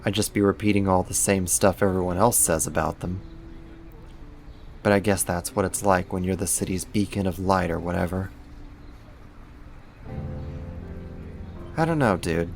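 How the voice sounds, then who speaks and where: A man's voice: deep voice, steady tone, brisk, soft background music. A man acting a casual, lightly teasing roommate who is secretly a supervillain, in a conversational ASMR roleplay. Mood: sheepish, casual. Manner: casual, laid-back, lightly teasing, slangy.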